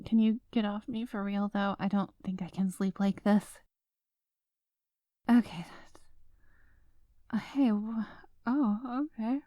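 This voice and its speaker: A woman's voice: medium pitch, fairly even intonation, slow pace, pauses often, mellow, no echo, lightly acted. A woman playing a stubborn, sleep-deprived writer girlfriend in an ASMR roleplay, rambling with dramatic, indignant exclamations. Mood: sleepy, giving in.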